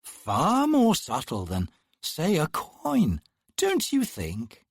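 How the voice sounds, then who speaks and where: Guy doing a sneery young god twin, slow drawl, lazy mocking tone, kinda husky. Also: very high voice, wildly swooping pitch, no echo, studio quality, pitched above the performer's own voice, full character voice. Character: young male immortal twin, mocking and languid. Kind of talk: audiobook character reading.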